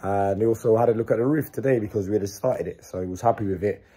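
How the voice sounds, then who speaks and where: A man in his thirties, hoarse from a sore throat, casually walking viewers through his building site and explaining the work step by step. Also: fairly low pitch, fast talker. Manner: relaxed, explains step by step with fillers. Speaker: builder vlogger. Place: unfinished building extension under a new roof, indoors. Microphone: phone held at arm's length.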